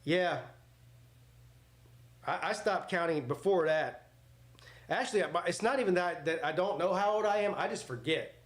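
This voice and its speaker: A man's voice: high voice, moderate pace, long pauses. A man in his forties hosting a live sports watch party, mixing casual chat with play-by-play in a blunt, joking, rambling way. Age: 40s.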